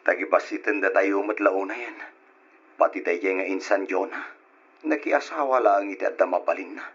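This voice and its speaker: A man's voice: low voice, normal speed, a bit bright, husky. A man playing a resentful, suspicious young adult son in an old Ilocano radio drama, on a dull recording.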